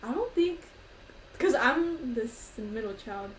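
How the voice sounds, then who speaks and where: A woman's voice: a little high, expressive. A young woman co-hosting a casual podcast, who backs up her friend with frequent "mm-hmm"s and laughs easily. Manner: supportive, quick to laugh, many "mm-hmm"s. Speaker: podcast co-host. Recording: echoey room with faint noise.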